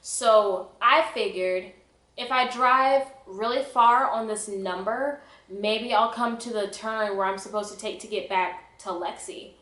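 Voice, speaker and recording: A woman's voice: mid-range voice, unhurried, pushed and bright. Echoey young woman telling a dramatic story. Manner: self-mocking, dramatic, emphatic. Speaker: vlogger telling a personal story. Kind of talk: animated casual storytelling to camera. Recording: echoey, roomy sound.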